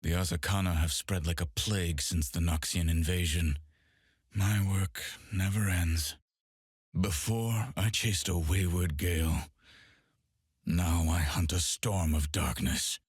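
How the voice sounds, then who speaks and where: An actor playing a brooding masked undead swordsman and demon hunter in a video game, solemn and deliberate, speaking through gritted teeth. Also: deep voice, fairly even intonation, slightly rough, no echo, studio quality. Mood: grim, weary. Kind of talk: video game character voice lines.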